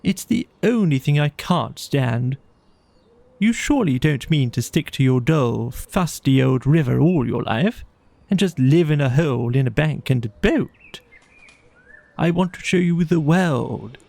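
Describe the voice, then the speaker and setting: Man putting on a boastful, wheedling toad, pitched up. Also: lively intonation, unhurried, creaky, darkish tone, no echo. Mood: imploring, bossy. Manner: imploring, theatrical, grandly persuasive. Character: boastful, pushy, wheedling male toad gentleman. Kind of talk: children's audiobook character voice.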